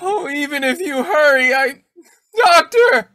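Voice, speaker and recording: An actress playing a frantic, tearful youngster desperate for a doctor in a radio play. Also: female voice, high voice, expressive, measured pace, bright, forceful tone, no echo, exaggerated voice. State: crying.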